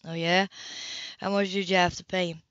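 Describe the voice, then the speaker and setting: A woman's voice: on the low side, little pitch movement, normal speed, a bit bright, dry recording, lightly acted. A confident, flirtatious adman from an audiobook as read by a woman, teasing in short clipped phrases. The sound is dry and slightly muffled, like a lo-fi home recording. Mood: breezy, confident.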